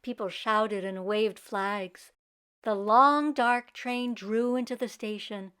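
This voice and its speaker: A woman's voice: medium pitch, slow, a bit bright. A woman reading a children's book aloud expressively, in a teacherly way that invites young listeners in. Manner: teacherly, clearly paced. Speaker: children's read-aloud storyteller. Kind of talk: expressive storybook narration with questions to young listeners.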